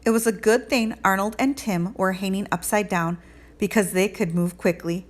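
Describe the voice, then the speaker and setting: A woman reading a children's storybook aloud in an expressive teacherly narration. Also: medium pitch, moderate pace.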